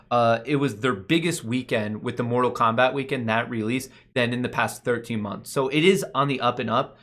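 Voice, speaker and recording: Male finance show host, mid-pitched voice, unscripted casual commentary, emphatic on key points. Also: fairly bright tone. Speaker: host of a stock market show.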